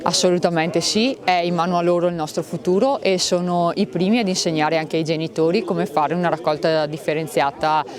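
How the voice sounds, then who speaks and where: A man gives a formal news interview as an official. Clear crowd chatter from the event surrounds his voice. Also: a little high, steady tone, fairly quick, hardly any pauses.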